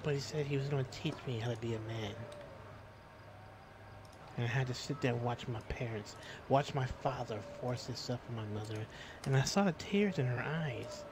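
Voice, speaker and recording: Against clearly noisy audio, a man acts out a wounded, menacing male protector persona from a survival roleplay game. He speaks with bitter intensity at a middle pitch, leaving deliberate, dramatic pauses between phrases. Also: natural voice. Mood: bitter, anguished.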